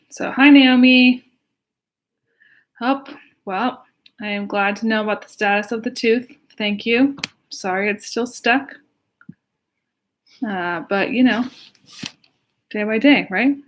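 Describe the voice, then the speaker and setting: Chatty American woman hosting children's storytime. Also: medium pitch. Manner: chatty, asks young listeners simple questions. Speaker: pastor reading a children's storybook. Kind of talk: casual livestream storytime for children.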